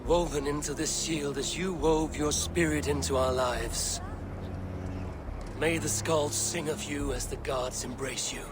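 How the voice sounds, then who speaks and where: An actor playing a stoic but tender Viking warrior with a grave, restrained delivery in a dramatic video game. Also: male voice, fairly high pitch, fairly even intonation, a little slow, noisy background, natural voice. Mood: reverent. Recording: loud music over the voice.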